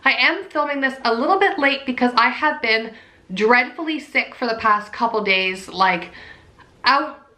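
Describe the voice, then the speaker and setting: A young woman chats to her camera in a bubbly, talkative way. Her voice is fairly high and sharp, though a cold leaves it raspy and nasal. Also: animated, unhurried. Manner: bubbly, chatty, repeats "super". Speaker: pregnancy vlogger. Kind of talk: casual chat to camera.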